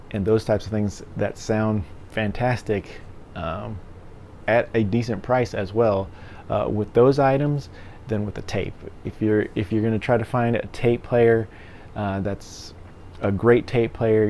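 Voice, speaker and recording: A man's voice: on the low side, darkish tone. A middle-aged man giving his opinions in a casual, conversational vlog style, rambling along as a reviewer. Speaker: music gear reviewer and vlogger. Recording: clear background noise.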